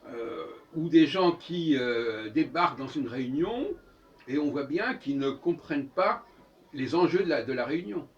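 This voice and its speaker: Higher-voiced Frenchman lecturing, very animated intonation. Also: dull audio. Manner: halting, self-correcting, emphatic repetition of phrases. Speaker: speaker giving a philosophical talk. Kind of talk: improvised monologue, opinionated lecture. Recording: distant microphone, noisy room ambience.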